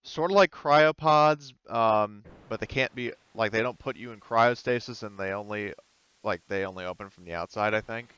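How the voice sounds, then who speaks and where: A man talking casually as a tabletop game master, halting as he thinks aloud through the rules. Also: on the low side, a bit bright, clear, resonant tone, no room sound, dull audio.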